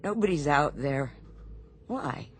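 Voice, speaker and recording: An actor playing a firm, rational, exasperated navy doctor in a radio drama, husky and bluntly insistent. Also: male voice, fairly high pitch, expressive, slow pace, a little hiss or hum. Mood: firm, reassuring.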